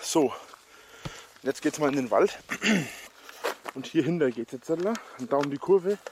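A man narrating a walking vlog outdoors in a forest, out of breath, rambling with many halting fillers, filmed on a handheld camera. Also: a little high, lively intonation, noisy background. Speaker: vlogger.

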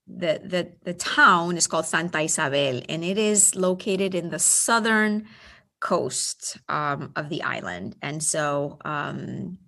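A woman's voice: low voice, expressive, slow, gravelly. A woman from Puerto Rico, a school superintendent, telling stories in a chatty interview. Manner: chatty, warm storytelling with fillers. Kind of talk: casual interview.

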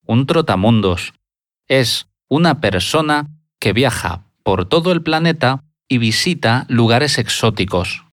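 A man speaking in a language lesson, answering questions by repeating them in full, deliberate sentences. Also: mid-range voice, lively intonation, fairly bright tone, dry recording, studio quality. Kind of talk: slow, deliberate lesson dialogue.

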